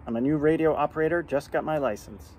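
A middle-aged American man making amateur radio calls and talking casually to camera outdoors, spelling out call signs deliberately. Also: a little high, darkish tone. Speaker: amateur radio beginner, vlogger. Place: outdoors in a yard and porch. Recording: outdoor background noise.

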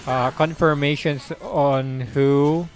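A young man giving casual, halting live commentary as he streams a game, speaking into a close microphone in a small room. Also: fairly high pitch, steady tone. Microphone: close desk microphone.

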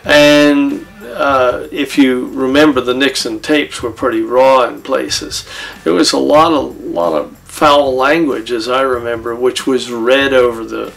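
A man's voice: medium pitch, steady tone, a little slow, gravelly, fairly bright tone, poor audio. A middle-aged American man, reminiscing in an interview. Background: faint music.

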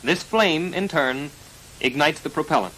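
An American man narrating a 1940s military instructional film in a formal, precise, measured delivery, on a vintage film soundtrack. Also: a little high, pushed and bright, rough recording. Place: voice-over recorded for a film.

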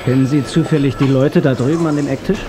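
A man's voice: mid-range voice, steady tone, fast talker, a crowd in the background, lightly acted. A man playing a cocky, impatient hero in a radio play, with a brash, flippant manner. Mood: casual. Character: cocky, impatient male hero driver, easily irritated. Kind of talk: German radio play acting. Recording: noisy car and street ambience, cassette.

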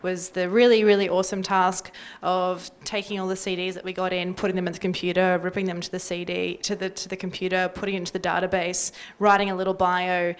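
A woman's voice: lowish voice, little pitch movement, quick pace, hardly any pauses, clear, resonant tone. A young Australian woman talking casually and wryly into a handheld mic on a panel. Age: young adult. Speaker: panellist from community radio. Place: indoor workshop panel before a small audience. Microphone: handheld microphone.

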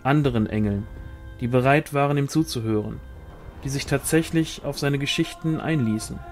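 A man's voice: medium pitch, normal speed, darkish tone, soft background music. A man reading a fantasy novel aloud as scripted audiobook narration. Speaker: audiobook reader.

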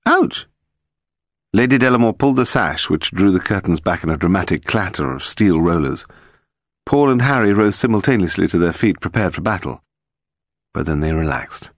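A man with a British accent reading a detective story as scripted narration, in a measured, dramatic storytelling manner. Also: low-pitched, lively intonation, dry recording, studio quality. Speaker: audiobook narrator.

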